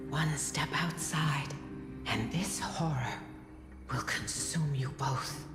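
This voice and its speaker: Guardian spirit, female, fantasy game: grave and foreboding, deep register, drenched in reverb over music. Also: takes it slowly. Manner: solemn, formal, foreboding. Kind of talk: dramatic fantasy game voice acting. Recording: long echoing reverb, music underneath.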